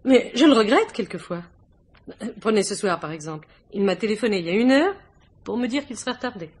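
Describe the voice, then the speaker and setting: A woman's voice: mid-range voice, expressive, a little fast, a little mellow, slightly rough. A woman playing a gracious, chatty, devoted young wife and hostess in a radio mystery, polite and confiding. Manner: polite, talkative, romantic, confiding. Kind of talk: 1950s French radio mystery acting.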